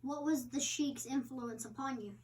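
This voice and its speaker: A woman with a high voice reads the interviewer's side of a printed interview. Her delivery is halting, with stumbles over words. She sounds some distance away from the mic. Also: a little mellow. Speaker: interviewer in a read-aloud interview. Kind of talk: reading a printed interview aloud. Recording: distant microphone.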